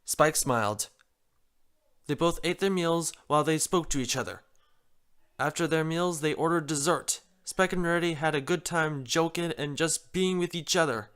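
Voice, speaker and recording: A narrator reading a story aloud in an expressive scripted style. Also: mid-range voice, smooth. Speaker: story reader.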